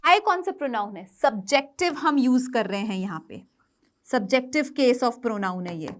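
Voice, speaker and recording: A woman's voice: fairly high pitch, expressive, measured pace. A woman with an Indian accent giving an exam coaching lesson as an English grammar teacher, explaining by posing questions and answering them herself, switching between two languages.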